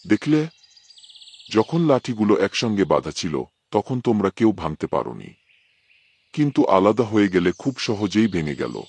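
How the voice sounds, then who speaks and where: A man's voice: fairly low pitch, sing-song, moderate pace, long pauses, a little mellow, husky, heavily acted. A man voicing a hardworking old village farmer who lectures his quarreling sons in an earnest, fatherly way. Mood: lecturing.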